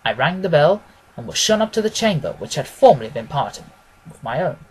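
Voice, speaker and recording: A man narrating a classic detective story as an audiobook, reading in a measured, formal, expressive way. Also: fairly high pitch, breathy edge, rough recording.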